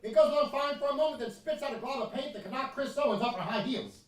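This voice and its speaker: A man's voice: very high-pitched, fast. A man playing a blustering, put-upon husband and hapless handyman in a live radio-style comedy, exasperated and sarcastic. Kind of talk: live old-time radio comedy acting. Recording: distant echoey stage recording, some noise.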